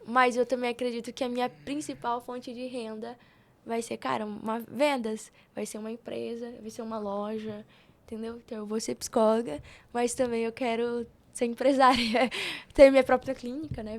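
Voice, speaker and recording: A woman's voice: fairly high pitch, fairly even intonation, moderate pace. A young Brazilian woman telling personal stories casually as a podcast guest, slangy and often retelling conversations as dialogue. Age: young adult.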